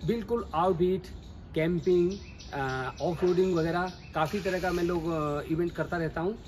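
A man's voice: sits high, moderate pace, a little mellow, slight background noise. A middle-aged man talking straight to viewers in a casual travel vlog, recorded on a phone camera outdoors and in a moving car. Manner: chatty, addresses viewers directly. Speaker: travel vlogger. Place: outdoors by trees, then inside a moving car.

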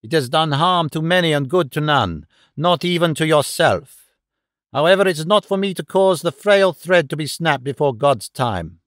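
A man's voice: a little high, expressive, moderate pace, a bit bright, no echo, clean studio sound. A man voicing a dignified, disdainful foreign woman in an audiobook story, speaking with earnest, dramatic gravity.

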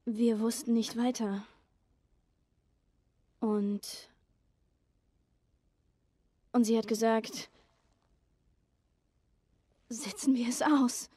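A woman playing an earnest, vulnerable teenage girl survivor in a video game drama, speaking haltingly. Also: fairly high pitch, steady tone, dark tone, breathy edge, no echo, heavily acted. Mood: sad, confessional.

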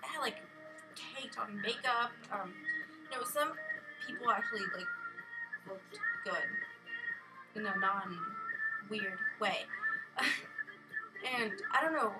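A young American woman telling a rambling, casual vlog story in an expressive way, acting out exchanges as she goes. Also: on the high side, takes it slowly, long pauses, over loud music, poor audio. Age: young adult. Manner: animated, expressive, acts out exchanges. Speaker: vlogger. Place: bedroom at home. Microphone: built-in camera or webcam mic at arm's length.

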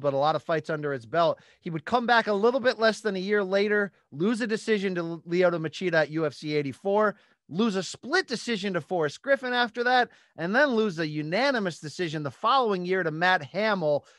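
High-pitched male podcast host, emphatic. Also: expressive, brisk, fairly bright tone, no room sound, clean studio sound. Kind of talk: sports talk recap and interview. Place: small home studio room. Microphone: close studio microphone on boom arm.

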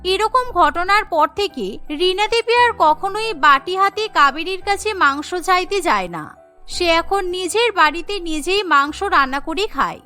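A woman's voice: extremely high pitch, lively intonation, measured pace, pushed and bright, soft background music. A woman narrating a scripted cartoon story as the storyteller.

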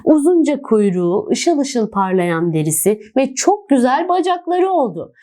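A woman reads a scripted fairy tale for children. Her voice is a little high and highly animated in pitch. She tells it expressively, as a storyteller would. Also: moderate pace.

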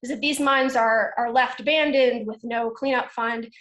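A woman's voice: fairly high pitch, fairly even intonation, fairly bright tone. Earnest woman presenting over a video call. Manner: earnest, measured, with frequent ums and uhs. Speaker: webinar presenter. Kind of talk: webinar slide presentation. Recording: echoey video-call audio.

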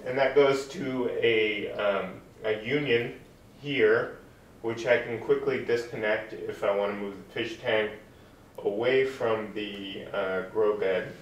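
A man's voice: fairly low pitch, reverberant, distant mic, muffled recording. A man hosting a casual how-to video, explaining a plumbing build step by step as he works on it in his apartment. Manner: unhurried, explains step by step while working with his hands. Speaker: DIY video host. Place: apartment room and tiled bathroom, indoors.

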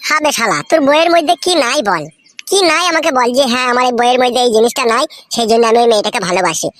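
Man doing a squeaky, fast-scolding cartoon buddy. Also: animated, a bit bright. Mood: exasperated. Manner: foul-mouthed, hectoring. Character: scolding, exasperated young male friend. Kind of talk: crude comedy cartoon dialogue.

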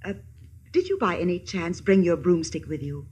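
A woman's voice: fairly low pitch, sing-song, moderate pace, darkish tone, breathy edge, full character voice. An actress playing a kindly, self-assured good witch, grand and soothing, in a 1950s radio play. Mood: reassuring. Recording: old radio broadcast with music behind.

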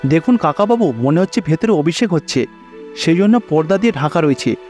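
A man's voice: a little high, lively intonation, brisk, darkish tone, lightly acted. A man playing a kindly, helpful young traveller in a cartoon, polite and reassuring. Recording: faint music behind.

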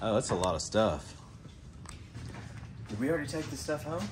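A man in his thirties hosting a casual storage-unit vlog, chatty and excited, talking straight to viewers as he digs through boxes in a storage hallway. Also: medium pitch, moderate pace, very noisy. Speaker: storage unit treasure hunter host. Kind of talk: casual vlog unboxing chat. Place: indoor self-storage hallway.

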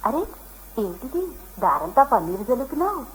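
An actress playing a practical hermitage woman in a Telugu radio play, chiding and questioning yet caring, with expressive delivery. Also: on the high side, fairly quick, low-quality recording. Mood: exasperated.

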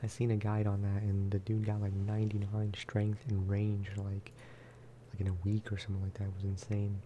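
Man, soft-spoken ASMR gaming chat, lowish voice, flat intonation, unhurried and rambling. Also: slight background noise. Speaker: gaming video creator.

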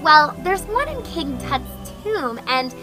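A woman's voice: high-pitched, big pitch swings, slow, over music, exaggerated voice, rough recording. A woman voicing an eager, bookish young cabin girl who talks in an earnest, explaining way. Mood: lecturing. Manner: earnest, explaining, know-it-all. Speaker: puppet show character. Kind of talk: amateur puppet show voice acting.

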